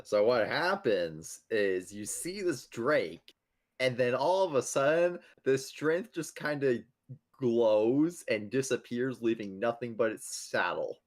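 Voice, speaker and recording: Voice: medium pitch, animated, slow. A player casually describing moves in a tabletop game.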